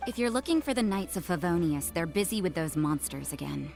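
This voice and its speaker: An actress playing a cool, jaded nun in a game, blunt and detached with a deadpan delivery. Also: female voice, lowish voice, fairly quick, gravelly. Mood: cool, serious. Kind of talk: anime-style game voice acting. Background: game music.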